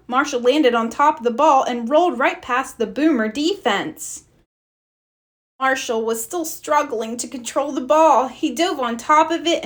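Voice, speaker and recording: A woman reading a children's storybook aloud as narrator, in an expressive read-aloud style. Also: high voice. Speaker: storybook reader.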